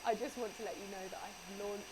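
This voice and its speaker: A woman's voice: medium pitch, quick pace, a crowd in the background. A British woman presenting a scripted English-teaching video with a precise, teacherly delivery, heard as a video played back. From Britain. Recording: video played through stream, noisy.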